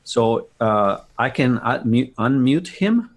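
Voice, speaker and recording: Husky guy with lots of fry, casual software demo on a call. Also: mid-range voice, lively intonation, takes it slowly. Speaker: guest presenter on live stream. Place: indoors on a video call.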